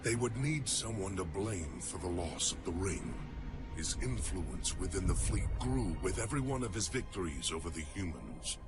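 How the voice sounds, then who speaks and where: A man's voice: deep voice, sing-song. A man voicing a loyal alien warrior in a game cutscene, solemn, formal and resolute yet mournful. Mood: troubled. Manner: solemn, formal, measured phrasing. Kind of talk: dramatic game cutscene acting. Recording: loud music underneath.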